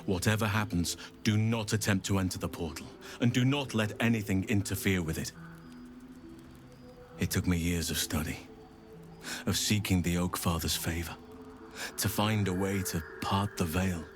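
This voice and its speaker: A low male voice from an actor plays a resolute druid healer in a fantasy game. He speaks with grave, measured authority. Soft music sits behind him. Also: natural voice. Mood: resolute, urgent. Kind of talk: dramatic fantasy video game acting.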